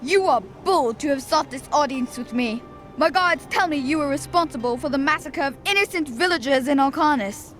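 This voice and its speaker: Woman playing a haughty boy emperor, high voice, cold and imperious, soft music behind. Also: moderate pace. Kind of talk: video game cutscene acting.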